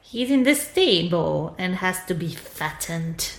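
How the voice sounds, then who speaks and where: A woman voicing a wicked, scornful old witch in a storybook reading, with a loud, drawn-out delivery. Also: on the low side, lively intonation, voice pushed down. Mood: bossy, scolding. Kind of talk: fairy tale storybook reading. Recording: echoey room.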